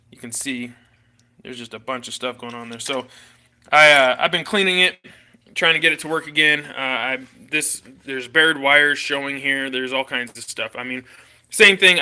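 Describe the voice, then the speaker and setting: Congested laid-back man, bright voice. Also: mid-range voice. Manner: rambling, laid-back, with fillers and slang. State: congested and coughing. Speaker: host of an RC hobby livestream. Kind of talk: casual livestream chat while showing a build. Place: home workshop or garage.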